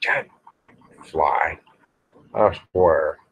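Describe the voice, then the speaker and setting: A man rambles casually to livestream viewers in a folksy, grumbling way, pausing often. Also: deep voice, husky. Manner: folksy, grumbling, drawn-out with pauses. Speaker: livestream host. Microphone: small microphone near the face. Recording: dull, muffled livestream audio.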